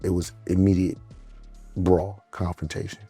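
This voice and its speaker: A man's voice: sits low, little pitch movement, measured pace, dark tone, husky, faint music behind. A man telling the story of a fight in a casual interview, heated and boastful, leaning on fillers.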